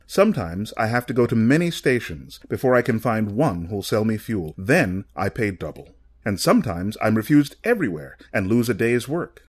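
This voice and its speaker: A man's voice: lowish voice, sing-song, moderate pace. A man narrating a story and voicing a weary rickshaw driver who speaks with sorrowful resignation. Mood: resigned.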